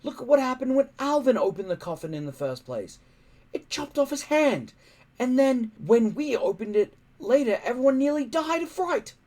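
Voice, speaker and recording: A man's voice: extremely high pitch, very animated, normal speed, a little mellow, voice pushed up. Male reader as a young Viking boy, worried, cautious, pleading, swooping intonation, storybook character. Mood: urgent pleading.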